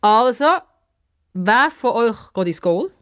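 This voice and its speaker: A woman's voice: on the high side, lively intonation, slow pace, a bit bright, clear, resonant tone, pitched above the performer's own voice. A woman voicing a kindly old man, a proud former football star who coaches with self-assurance, in a children's story. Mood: brisk.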